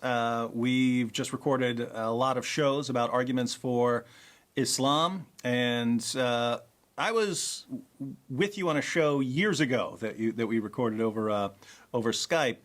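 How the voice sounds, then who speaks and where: A man with a mid-range voice hosts a relaxed interview. He asks questions in a friendly, curious way, now and then speeding up or slowing down as he thinks. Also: mid-range voice. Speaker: host. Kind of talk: conversational interview.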